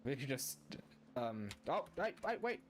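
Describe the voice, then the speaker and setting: A man's voice: medium pitch, big pitch swings, a little slow. A man giving casual commentary on a game playthrough, sometimes reading dialogue aloud. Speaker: gaming streamer. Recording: faint game music behind.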